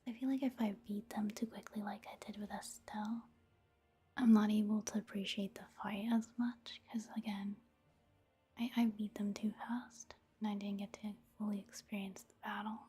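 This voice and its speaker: A woman speaking in a soft, breathy near-whisper, giving gentle, rambling ASMR commentary on a video game. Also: mid-range voice, fairly even intonation. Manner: unhurried, rambling, gentle murmur. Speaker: ASMR gaming video host.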